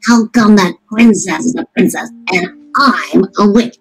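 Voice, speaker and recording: A woman storyteller voicing a greedy, rude witch with a raspy, cackling voice. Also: mid-range voice, measured pace, heavily acted. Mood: wondering. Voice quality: raspy, gravelly.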